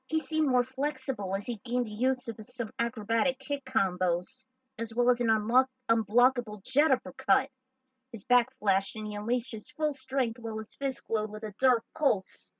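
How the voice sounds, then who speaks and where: A woman with a fairly high voice narrates a fan story as she plays a game. She moves haltingly between reading aloud and chatty remarks, recorded dry and close. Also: dry recording, rough recording. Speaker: fan fiction reader and game player. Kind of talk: narrating fan fiction over gameplay, with casual asides.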